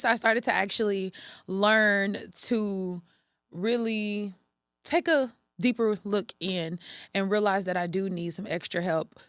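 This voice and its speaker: A woman's voice: medium pitch, fairly bright tone, clear, resonant tone, no room sound, clean studio sound. Upbeat chatty young woman podcaster. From Texas, USA. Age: 20s. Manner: upbeat and chatty, with frequent ums and restarts. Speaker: podcast host. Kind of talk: casual solo podcast monologue.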